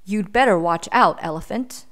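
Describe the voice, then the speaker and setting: A woman's voice: medium pitch, normal speed, a bit bright, lightly acted. A woman voicing a timid big male bear in a storybook, hesitant and stammering. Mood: warning.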